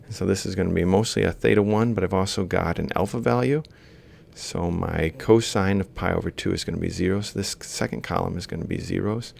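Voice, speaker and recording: A man's voice: low voice, brisk, gravelly, darkish tone, slight background noise. A man lecturing informally, explaining a worked example step by step and thinking aloud as he writes. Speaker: university lecturer.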